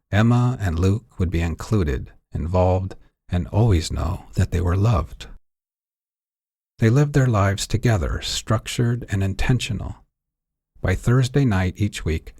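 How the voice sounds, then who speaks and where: A man with a husky voice reading his own fiction aloud as a scripted audiobook narration. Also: sits low, a little mellow, no room sound, studio quality.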